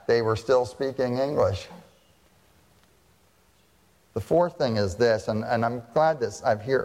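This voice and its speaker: An American pastor preaching a sermon, talking to the congregation in a conversational way and asking them frequent questions. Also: male voice, lowish voice, a little mellow, long reverb tail. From United States. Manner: conversational, asks the audience questions.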